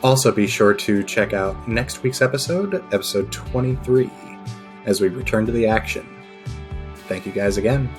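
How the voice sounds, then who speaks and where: A man's voice: on the low side, normal speed, over music. A man casually narrating a tabletop role-playing game as game master and host.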